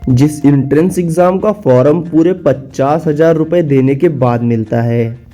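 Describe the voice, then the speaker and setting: A man's voice: fairly high pitch, mellow. A man narrating a scripted cartoon story as its storyteller. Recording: music behind the voice.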